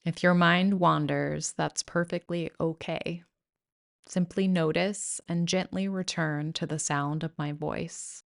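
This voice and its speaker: Low, creaky-smooth woman meditation guide. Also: steady tone. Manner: soothing, gentle, measured delivery with pauses. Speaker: yoga nidra guide.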